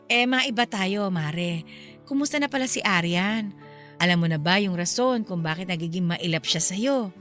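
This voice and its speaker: A woman playing a chatty, teasing middle-aged neighbor in a radio drama, with a Filipino accent and an animated, gossipy manner. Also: lowish voice, normal speed, soft background music. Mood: curious, teasing.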